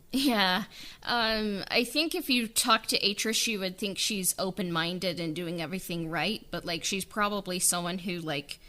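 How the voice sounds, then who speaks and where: A woman's voice: medium pitch, a bit bright. A woman who wrote and produced an audio drama, talking casually and rambling with many filler words. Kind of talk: casual interview chat.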